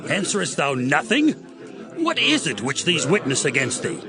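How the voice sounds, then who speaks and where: A man playing an imperious, accusing high priest in a dramatized scripture reading, with declamatory, drawn-out questions. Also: huge pitch swings, loud music behind the voice. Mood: stern.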